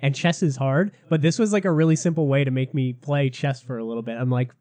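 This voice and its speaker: A man hosting a casual gaming podcast, a little sick and raspy, chatty and loose, laughing often. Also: medium pitch, fast, dark tone. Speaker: podcast host.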